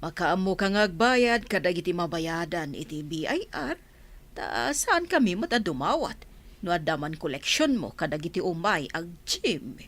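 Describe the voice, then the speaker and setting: A woman playing a brisk, materialistic middle-aged mother in a Filipino radio comedy drama. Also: lowish voice, very animated, a bit bright. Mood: bossy.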